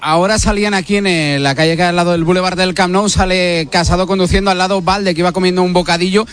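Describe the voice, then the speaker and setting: A high-voiced male field reporter speaks fast from the street outside a stadium. He narrates the scene briskly and stops fans for quick questions. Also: steady tone, fairly bright tone, clean tone.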